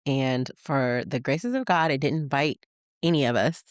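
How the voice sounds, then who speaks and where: A woman from Michigan hosting a podcast, telling childhood stories in a chatty, animated way and laughing as she goes. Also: rumbling low, measured pace, no echo, studio quality. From Michigan, USA. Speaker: podcast host. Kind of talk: casual personal storytelling.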